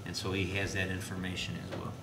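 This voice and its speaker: A man speaking as an administrator at a school board meeting, explaining in a halting way with restarts. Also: completely flat delivery. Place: indoor board meeting room. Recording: noisy, echoey meeting room with low rumble.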